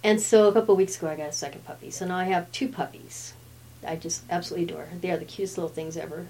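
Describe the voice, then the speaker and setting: Chatty woman with a low voice. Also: steady tone, very fast, warm tone, poor audio. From Brazil. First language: Portuguese. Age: 50s. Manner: chatty, laughs often. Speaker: guest. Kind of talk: casual chat.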